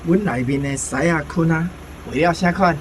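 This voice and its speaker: A man's voice: fairly high pitch, moderate pace, slight background noise, lightly acted. A Taiwanese man playing a good-humoured, chatty sign-shop boss in a radio drama.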